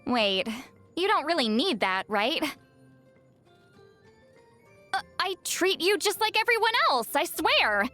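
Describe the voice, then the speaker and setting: A woman voicing a video game seer, youthful-sounding, proud but easily flustered and secretly awkward, in an expressive, haughty and defensive manner. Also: very high voice, moderate pace, soft background music, full character voice. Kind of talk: video game character voice lines.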